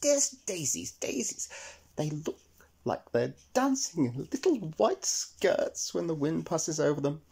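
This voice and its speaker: A man puts on a dainty, eager female rabbit from a children's tale. The voice is lifted very high and husky-soft, full of hesitant little repeats and swooping pitch. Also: sing-song, a little slow, heavily acted. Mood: flustered, delighted.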